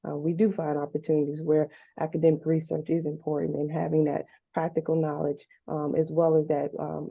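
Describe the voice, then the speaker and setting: A woman's voice: deep voice, creaky, breathy edge, dry recording. A woman program manager introducing herself upbeat on a video call, with frequent ums. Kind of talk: webinar self-introduction. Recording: dull, dry call audio.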